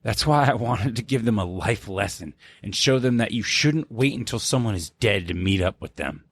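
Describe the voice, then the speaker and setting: A man's voice: lowish voice, animated, moderate pace, husky, no echo. A man co-hosting a podcast in casual banter, laid-back and profane, with lots of filler words. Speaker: podcast co-host.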